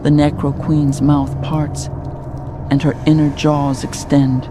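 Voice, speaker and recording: A man's voice: fairly high pitch, flat delivery, slow pace, background noise. A man giving dramatic scripted narration for a horror audio drama.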